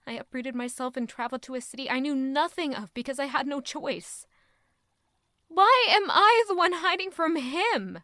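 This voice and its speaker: A woman's voice: high-pitched, bright, forceful tone, dry recording, studio quality, exaggerated voice. An actress playing an anguished, proud young court lady in an audio drama, brooding intimately in a dramatic first-person monologue. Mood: bitter, then angry outburst. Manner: intimate, brooding, emotionally intense.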